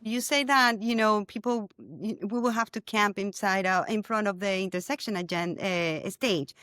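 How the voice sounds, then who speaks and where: A woman shares her views on a casual panel with earnest enthusiasm, often circling back and repeating words. Her pitch is an ordinary middle. The recording is dry and close. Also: moderate pace, fairly bright tone, no echo. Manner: earnest, repeats words while searching for them. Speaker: panelist on content team.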